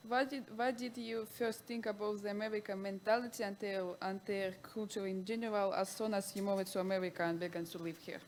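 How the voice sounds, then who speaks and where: A woman in the audience asking a question, hesitant and apologetic, in halting English. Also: medium pitch, steady tone, a little hiss or hum, poor audio.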